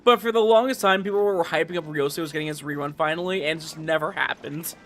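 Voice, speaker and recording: A young man livestreaming a game, chatting casually in a rambling, halting way with animated emphasis. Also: fairly high pitch. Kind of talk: casual livestream chat over gameplay.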